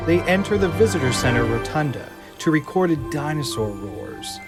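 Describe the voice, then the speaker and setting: A man's voice: a little high, sing-song, normal speed, music in the background. A man reading scripted narration as the narrator of an audio drama.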